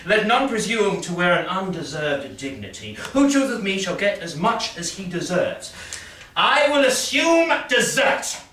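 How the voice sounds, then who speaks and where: An actor playing a pompous, self-satisfied prince in a Shakespearean staged reading, declaiming with deliberate dramatic pauses. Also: male voice, high voice, big pitch swings, bright, forceful tone, full character voice. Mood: haughty, deliberating. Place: live staged reading in a reverberant room. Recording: echoey room from far off, faint noise.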